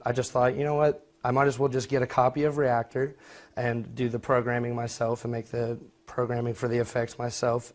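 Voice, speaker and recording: A young man giving an informal student project presentation, speaking a little hesitantly and correcting himself as he goes. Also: mid-range voice. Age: young adult.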